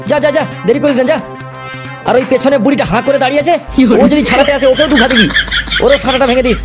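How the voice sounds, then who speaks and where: A man's voice: very high voice, fast talker, loud music behind the voice. A man voicing a menacing village boss and angry father in a comedy cartoon. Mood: furious, commanding. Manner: bossy, blunt. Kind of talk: Bengali comedy cartoon dialogue.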